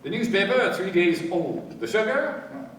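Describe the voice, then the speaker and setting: A man playing a methodical, cautious police inspector in a radio play, deliberate and given to thoughtful hums and pauses. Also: high voice, very animated, fairly bright tone. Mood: analytical, brisk. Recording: very echoey, distant, with background noise.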